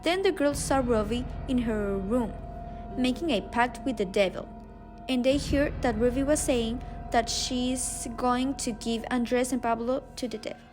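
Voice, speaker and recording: Female narrator for a radio drama, slightly high pitch, reading a script haltingly over strong music. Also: darkish tone. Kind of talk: scripted narration, read aloud.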